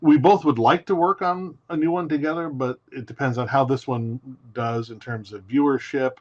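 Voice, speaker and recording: A man's voice: medium pitch, steady tone, moderate pace, darkish tone, no echo, lo-fi sound. A man being interviewed as a guest writer on a podcast, speaking in an articulate, methodical way and often posing rhetorical questions.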